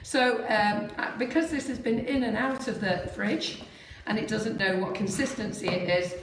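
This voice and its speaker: Squeaky chatty lady doing a cake demo, kitchen super echoey, mic kinda far. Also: normal speed, slight background noise. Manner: chatty, laughs often, explains steps plainly. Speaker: baking show co-host. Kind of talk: live cake decorating demo. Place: home kitchen in Spain.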